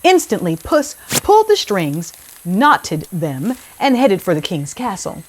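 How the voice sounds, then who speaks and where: A woman hosting a children's read-aloud, speaking in a deliberate, clearly phrased storytelling style. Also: mid-range voice, wildly swooping pitch, slight background noise, rough recording.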